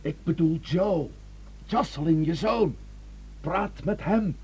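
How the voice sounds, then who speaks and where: A man's voice: on the high side, slow pace, creaky, darkish tone, slight background noise. An actor playing a stern, authoritative man taking charge of a crisis in a radio drama, grave and emphatic. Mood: coaxing, raised voice.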